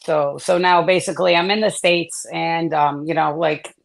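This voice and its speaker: A man with a gravelly, fry-laden voice tells his story in a casual, meandering way, circling back on himself with fillers. He was born in South Korea and raised in the US, and is speaking as a guest on a live stream. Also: fairly high pitch, fairly even intonation, normal speed. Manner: rambling, many fillers and restarts.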